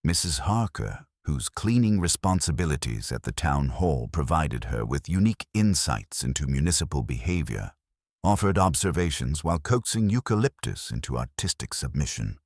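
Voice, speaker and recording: A man reading a cosy mystery as scripted narration in a measured, wry manner. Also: extremely low pitch, big pitch swings, no echo, studio quality.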